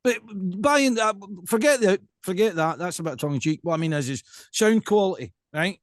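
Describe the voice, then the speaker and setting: A Scottish man with a fairly high-set voice hosts a casual podcast chat, recorded dry and close. He rambles emphatically through his questions and keeps checking that he is being followed. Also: expressive, normal speed, no echo. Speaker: podcast host.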